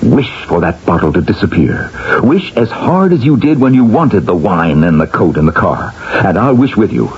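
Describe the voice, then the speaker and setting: Intense husband with wildly swinging pitch. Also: male voice, big pitch swings, normal speed, darkish tone, slightly rough, heavily acted. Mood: urgent, coaxing. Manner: intense, insistent, emphatic persuasion. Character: earnest, guilt-ridden husband obsessed with psychic forces. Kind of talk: old-time radio drama acting. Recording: muffled vintage radio, faint hiss.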